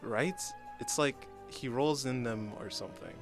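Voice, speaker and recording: A man's voice: medium pitch, a little slow, over music, lightly acted. A man playing a petty, resentful supervillain who gripes in a comedic radio play. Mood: resentful griping. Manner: griping.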